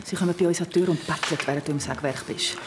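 A woman's voice: low voice, fairly even intonation, racing, noisy background, close to an everyday voice. A woman acting an anxious young woman in a gothic audio drama, with music behind her. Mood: anxious, insistent.

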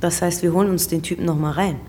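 An actress playing a sceptical, sardonic police detective in a radio crime drama, with dry sarcasm. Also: female voice, lowish voice, fairly even intonation, fast talker, warm tone, a little hiss or hum, lightly acted. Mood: exasperated, sceptical.

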